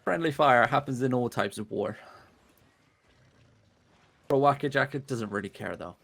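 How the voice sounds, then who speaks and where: A man giving relaxed, chatty live play-by-play commentary on an online game battle. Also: medium pitch, moderate pace, creaky. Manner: relaxed, chatty, laughs easily. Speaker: esports caster.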